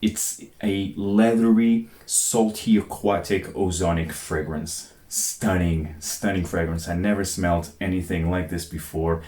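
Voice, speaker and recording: Deep-voiced male fragrance reviewer from Portugal, eager, fires off scent notes in quick bursts; roomy echo. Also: steady tone, dark tone. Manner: enthusiastic, lists descriptors in short bursts. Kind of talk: guest segment in a video collaboration.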